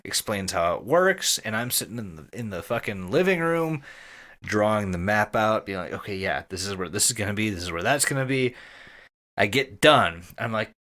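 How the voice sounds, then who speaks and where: A man from the Cayman Islands co-hosting a podcast, chatting casually and telling sweary, animated stories. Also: fairly low pitch. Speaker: podcast co-host. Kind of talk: casual group chat and storytelling.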